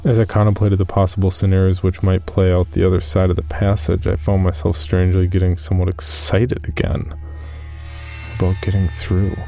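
A man playing an uneasy cave explorer, recounting his expedition in measured first-person horror narration. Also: sits low, completely flat delivery, gravelly, warm tone. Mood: uneasy, subdued. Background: music.

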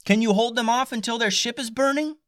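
A man voicing a bold, fiery young girl with magic powers, who talks loudly and impatiently in a storybook reading. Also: very high voice, fairly quick, no echo, clean studio sound, voice pushed up. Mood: commanding. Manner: loud, exclaiming, impatient.

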